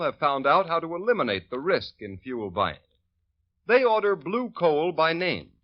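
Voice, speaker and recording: A man reading a scripted radio commercial as announcer, earnest and persuasive. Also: a little high. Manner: earnest, persuasive salesman delivery. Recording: dull old radio recording.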